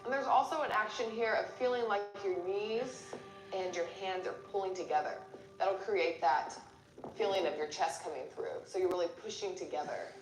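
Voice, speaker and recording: A woman yoga instructor leading a class, giving calm step-by-step cues with pauses between them. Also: mid-range voice. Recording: roomy echo, music behind. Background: music.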